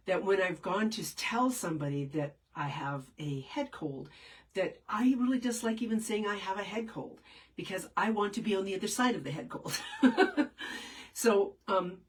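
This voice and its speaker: A woman's voice: fairly low pitch, recorded from a distance. A woman with a head cold, answering viewer questions as a spiritual teacher in a casual, meandering live session. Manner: meandering, many fillers and restarts.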